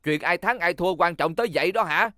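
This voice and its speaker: An actor playing an exasperated, impulsive young racing teammate in a dubbed animated series, brash and scolding. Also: medium pitch, fairly quick, no room sound, natural voice.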